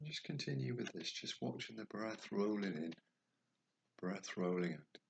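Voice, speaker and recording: A man guiding a meditation, speaking slowly and softly, at times dropping toward a whisper. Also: lowish voice, expressive, vocal fry, slight background noise. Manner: slow, soft and soothing, trailing off toward a whisper. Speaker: meditation guide. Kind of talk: guided meditation.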